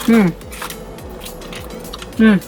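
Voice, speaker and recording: A woman's voice: very slow, a little mellow, soft background music. A young woman from Peru, chatting casually to her vlog audience while eating and often saying "you guys". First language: Spanish. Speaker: beauty YouTuber. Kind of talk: casual mukbang vlog chat.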